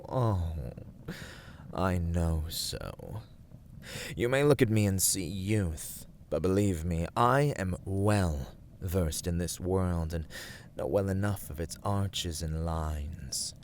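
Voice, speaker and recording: Male actor as teasing young villain for ASMR roleplay: low, unhurried, formal and condescending, slight background noise. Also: a little mellow. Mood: proud, commanding. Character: smug, teasing young male villain, dungeon-keeping warlord. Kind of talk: ASMR roleplay, scripted dramatic monologue.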